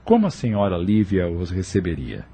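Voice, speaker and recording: A man narrating an audio novel from a script in a measured storytelling manner. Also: low-pitched, huge pitch swings, warm tone, breathy edge. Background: music.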